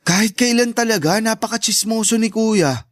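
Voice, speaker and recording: A man's voice: sits high, normal speed, no room sound, natural voice. An actor playing a humble, grateful young man talking to his older sister in a Tagalog radio drama, earnest, with a Filipino accent. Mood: light, familial.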